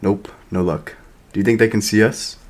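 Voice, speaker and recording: A man's voice: fairly low pitch, fairly even intonation, moderate pace, darkish tone, close to an everyday voice. A man acting a stoic, wry veteran mercenary with a laconic delivery in a sci-fi audio drama. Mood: terse.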